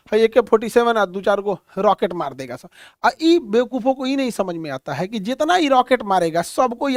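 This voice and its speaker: Male coaching instructor, Bihari accent, high-pitched, informal and mocking classroom delivery, dry recording without room echo. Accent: Bihari Hindi. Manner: colloquial, blunt, mocking, rhetorical questions. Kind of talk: informal classroom lecture with comic asides.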